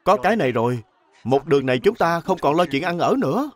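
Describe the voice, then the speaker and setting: An actor playing a young, deferential manservant, humble and earnest, in an animated drama. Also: male voice, fairly high pitch, quick pace, lightly acted. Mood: eager.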